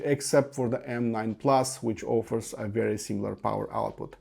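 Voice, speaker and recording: A man's voice: mid-range voice, gravelly, a little mellow. Man reviewing audio gear. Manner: detailed, enthusiastic product walkthrough with occasional fillers. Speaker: product reviewer. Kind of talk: scripted tech review.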